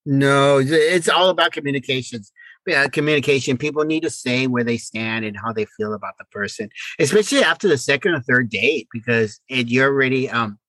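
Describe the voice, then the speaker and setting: Male co-host, medium pitch, conversational and a little halting, very dry recording. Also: pushed and bright, no echo, rough recording. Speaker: podcast co-host. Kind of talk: casual relationship chat.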